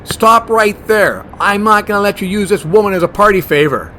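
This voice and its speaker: An actor playing a fussy, germophobic monster hunter, blunt and bossy, in a comedy horror audio drama. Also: male voice, sits high, moderate pace, slight background noise. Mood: defiant. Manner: deadpan, argumentative.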